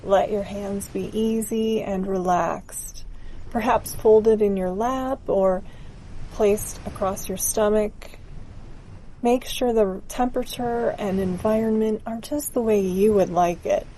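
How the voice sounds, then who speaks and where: Slow, mellow woman guiding a meditation. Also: medium pitch, fairly even intonation, slight background noise, poor audio. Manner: gentle, soothing, unhurried. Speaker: guided meditation narrator. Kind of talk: guided meditation, scripted narration.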